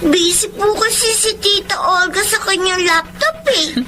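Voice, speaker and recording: A sweet, lonely little Filipino girl in a radio drama. She speaks slowly in a very high voice, polite and pleading, over clearly audible background noise. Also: pushed and bright, full character voice. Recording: noisy ambient background.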